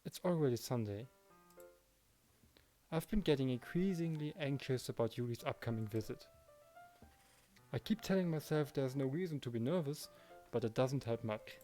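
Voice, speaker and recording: A man's mid-pitched voice with music playing behind it. He narrates a visual novel in the first person, acting out the protagonist's thoughts and lines as he reads. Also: animated, moderate pace, pauses often, mellow. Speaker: Let's Play narrator reading the protagonist's lines.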